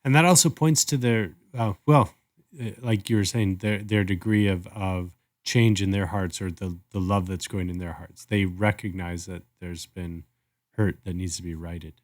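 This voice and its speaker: Deep-voiced man in casual discussion. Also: normal speed, no room sound, clean studio sound. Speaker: podcast co-host. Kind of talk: casual chat.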